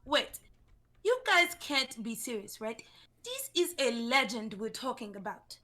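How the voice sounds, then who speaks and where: Girl acting as panicky disbelieving young woman, bright sharp voice, swoops all over, drama reading. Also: fairly high pitch, sing-song, a little slow, exaggerated voice.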